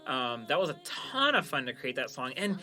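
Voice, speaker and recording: A man's voice: mid-range voice, very animated, moderate pace, pushed and bright, soft background music. A man co-hosting a podcast in casual banter, speaking informally and laughing easily. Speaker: podcast host, show creator.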